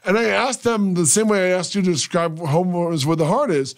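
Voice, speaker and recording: Guy hosting a talk radio show, talkative storyteller, slightly high voice, desk mic in a small studio. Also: brisk. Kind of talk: casual interview chat.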